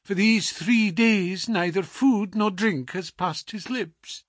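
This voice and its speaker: A man's voice: sits high, slow pace, no room sound, clean studio sound, pitched above the performer's own voice. A man voicing an anxious, pleading elderly landlady who speaks urgently.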